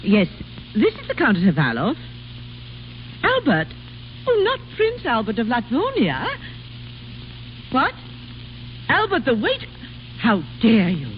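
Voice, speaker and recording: An actress playing an anxious, dutiful countess, deferential and fretful, in old radio drama. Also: female voice, on the high side, wildly swooping pitch, slow, long pauses, heavily acted. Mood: indignant. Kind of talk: 1930s radio drama acting. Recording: old radio broadcast, muffled with faint hiss.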